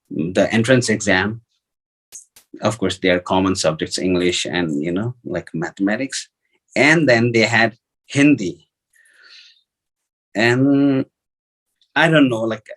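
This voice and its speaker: A man with a notably low voice and a Nepali accent, a native speaker of Nepali. He shares a personal testimony in an informal storytelling way. His delivery is halting, circling back over phrases and thinking aloud through many fillers. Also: fairly bright tone, poor audio. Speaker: devotee sharing personal testimony.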